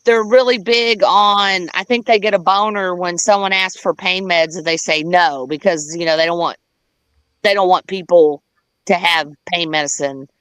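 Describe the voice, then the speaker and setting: A woman's voice: lowish voice, steady tone, normal speed, a bit bright, clean tone, no room sound. A woman chatting casually and telling a personal story, candid and sweary, wandering into side details and quoting people as she goes. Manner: candid, profane, rambling with digressions; acts out quoted dialogue.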